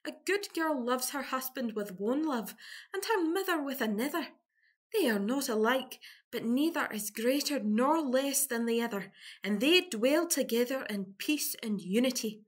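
A woman's voice: high-pitched, animated, moderate pace. A woman acting a sweet, self-satisfied young Scottish country girl, earnest and gushing, in a farcical radio play. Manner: earnest, gushing, broad dialect.

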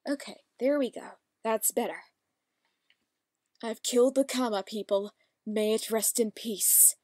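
A woman's voice: fairly high pitch, a little slow, dark tone, no room sound, clean studio sound. A woman reading amateur voice acting lines and breaking off into wry, self-correcting asides. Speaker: amateur voice actor. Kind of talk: script reads broken by casual asides.

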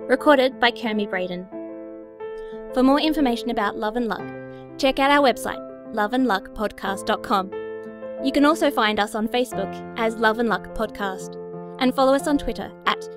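A woman reads scripted credits as an announcer. Her voice sits a little high, with music playing clearly behind her. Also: normal speed.

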